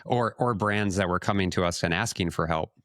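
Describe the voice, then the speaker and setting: Male co-host, deep voice, dry recording, casual conversational delivery. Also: brisk, a bit bright, dry recording. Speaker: podcast co-host, marketing consultant.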